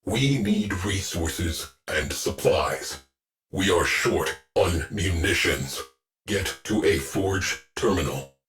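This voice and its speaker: A man voicing a stern, deadpan artificial intelligence that runs a warship in a video game, giving clipped military orders. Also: low-pitched. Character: terse, grim military ship artificial intelligence, male, commanding. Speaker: shipboard tactical officer. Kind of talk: video game mission voice lines.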